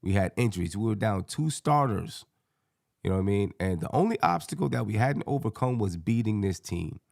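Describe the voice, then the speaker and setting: Man, low gravelly hoarse voice, slangy casual sports talk, panel show host, dry close sound. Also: animated, fairly quick, a little mellow, dry recording. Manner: slangy, colloquial. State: hoarse, scratchy voice.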